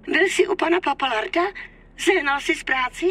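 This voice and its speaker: A woman's voice: high-pitched, fairly bright tone. An actress playing an anxious, doting middle-aged Italian immigrant mother in a crime game, fretful in manner. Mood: worried, tender. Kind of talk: dubbed crime game dialogue.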